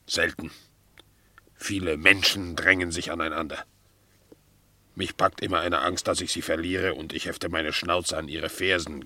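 An actor playing a hearty, devoted but easily frightened pet bulldog in a radio play, with a blunt, earnest manner. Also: male voice, low-pitched, sharp tone, dry recording, heavily acted. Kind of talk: German radio play acting.

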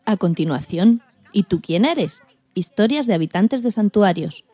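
Mellow Spanish woman co-hosting. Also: mid-range voice, expressive, a little slow, no room sound. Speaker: co-host. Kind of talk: programme presentation.

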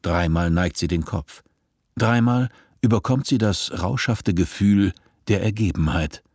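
A man's voice: fairly low pitch, a little slow, a little mellow, husky. A man narrating a dystopian radio drama in German, sober and detached.

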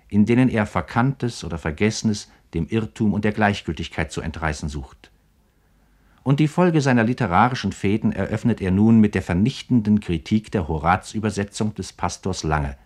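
A man narrating a scripted biographical radio feature in a formal, measured manner. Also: lowish voice.

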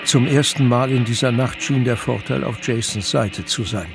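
A man's voice: medium pitch, moderate pace, vocal fry. Male thriller narrator over music. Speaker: audiobook narrator. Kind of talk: dramatic scripted narration.

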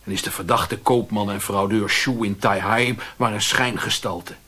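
An actor playing a fat, shady Chinese merchant in a radio play, mocking and philosophical, with a deliberate, taunting way of talking. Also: male voice, lowish voice, fairly even intonation, pushed and bright, slightly rough. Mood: philosophical, teasing. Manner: sardonic, taunting, deliberate, given to aphorisms.

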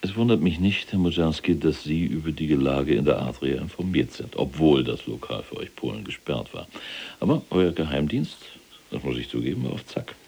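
An actor playing a proud, self-justifying imprisoned SS general in a German radio drama, gravelly and husky, with a clipped, emphatic military delivery. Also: male voice, rumbling low, animated, moderate pace, a little mellow, heavily acted. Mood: insistent, condescending.

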